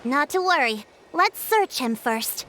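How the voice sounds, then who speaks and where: Bright, high-voiced female chief. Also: animated, a little hiss or hum, full character voice. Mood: assured. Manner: assured, commanding, deliberate. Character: composed, authoritative female village chief. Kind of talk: video game voice acting.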